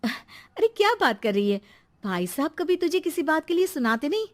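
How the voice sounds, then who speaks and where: Meek cartoon mother, acted by a woman, apologetic, timid, high voice. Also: sing-song, moderate pace. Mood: curious, anxious. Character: meek, self-blaming housewife and mother.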